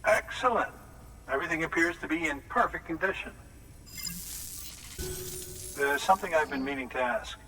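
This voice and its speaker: An actor playing a kindly, courteous older doctor who speaks earnestly in a video game. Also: male voice, fairly high pitch, normal speed, pauses often, sharp tone, a little hiss or hum, low-quality recording. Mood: pleased, earnest. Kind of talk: video game cutscene acting.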